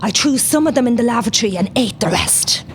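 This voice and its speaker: A woman's voice: a little high, expressive, a little fast, very noisy, close to an everyday voice. A woman playing a determined young rebel, narrating her first-person account in an audio play. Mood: composed.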